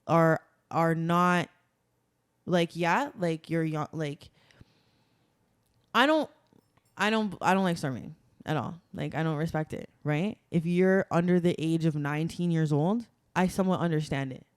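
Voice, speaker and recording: Young woman, podcast guest, smooth clear tone, dry close sound, emphatic and chatty. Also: deep voice, measured pace, no room sound. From Toronto, Canada. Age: young adult. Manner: chatty and emphatic, many "like" fillers. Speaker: guest, carnival masquerader. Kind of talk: casual podcast conversation.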